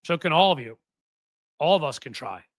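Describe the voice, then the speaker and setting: A man's voice: fairly high pitch, lively intonation, moderate pace, no room sound, studio quality. A man in his fifties hosting a casual livestream, talking in a rambling, self-deprecating way with lots of fillers and restarts. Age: 50s. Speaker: host.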